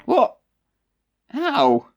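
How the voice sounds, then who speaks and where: A woman's voice: high-pitched, huge pitch swings, extremely slow, dull audio, loud music behind the voice, full character voice. A woman playing an arrogant, self-centred male writer turned ghost in a comic drama, pompous, sarcastic and theatrical. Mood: baffled. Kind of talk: comic audio drama acting.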